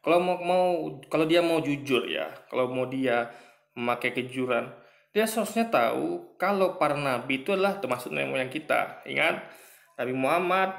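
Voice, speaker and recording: A man giving a halting, filler-filled religious commentary on a video he is reacting to, often repeating himself and cutting in. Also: medium pitch, vocal fry, fairly bright tone. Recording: roomy echo.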